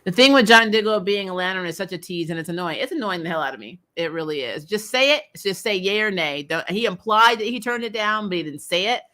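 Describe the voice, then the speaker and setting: An American woman hosting a casual recap show, chatting in a blunt, animated, opinionated way with informal language. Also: medium pitch, fast talker, hardly any pauses. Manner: animated, frequently laughing, blunt and informal with mild swearing. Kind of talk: casual opinionated fan chat, reading and answering comments.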